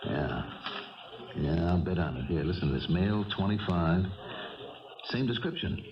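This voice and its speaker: An American man playing a calm, wry, methodical police lieutenant who also narrates a 1970s radio crime drama in a measured, authoritative way. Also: deep voice, crowd noise. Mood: musing. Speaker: narrator and lead character. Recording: dull old radio broadcast, slightly distant.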